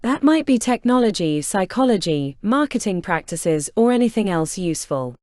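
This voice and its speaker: A woman playing a calm, businesslike corporate recruiter in an audiobook, speaking smoothly and unbothered. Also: medium pitch, normal speed, no room sound, clean studio sound, natural voice. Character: calm female corporate recruiter, coolly casual. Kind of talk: audiobook character reading.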